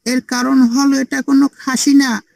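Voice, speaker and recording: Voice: sits high, little pitch movement, moderate pace, gravelly, darkish tone, dry recording. A performer voicing a cheeky, scheming little boy in a comedy cartoon, talking like a cocky salesman. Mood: showy, salesmanlike.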